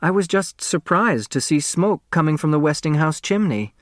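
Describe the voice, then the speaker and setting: A man voicing a gentle young woman in an audiobook, speaking softly and evenly. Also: fairly high pitch, moderate pace, no room sound, studio quality, voice pushed up, natural voice. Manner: soft, even, polite. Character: gentle, even-tempered young bride-to-be, angelic daughter.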